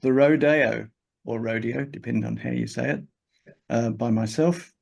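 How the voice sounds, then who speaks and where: Gravelly man announcing results. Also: medium pitch, a little slow, muffled recording. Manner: plain, steady, reads titles and adds short asides. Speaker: exhibition host.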